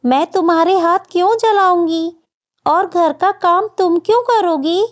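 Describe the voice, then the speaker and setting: A woman voicing a gentle, earnest stepmother bird in a children's cartoon, soothing in manner. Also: extremely high pitch, a little slow, dull audio, pitched above the performer's own voice. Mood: puzzled, gentle. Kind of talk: children's cartoon story acting.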